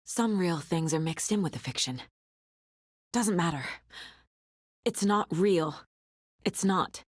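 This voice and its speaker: A woman playing a serious, analytical investigator and mother in a video game, speaking in a measured, pensive, terse way with a husky voice. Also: on the low side, animated, no room sound, clean studio sound. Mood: focused, pensive. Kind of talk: dramatic game dialogue, introspective investigation lines.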